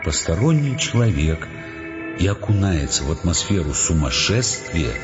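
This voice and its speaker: An actor as the grave storyteller in a Russian audio drama, reading scripted literary narration of a tense novel. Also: male voice, low-pitched, sing-song, normal speed, dull audio, over music. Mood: measured, tense.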